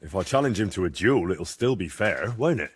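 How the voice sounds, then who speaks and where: An actor playing a blunt, loyal young medieval adventurer in a video game, questioning and sardonic, with a slightly rough voice. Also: male voice, low-pitched, big pitch swings, brisk, dry recording, exaggerated voice. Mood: resolute. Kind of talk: video game dialogue acting.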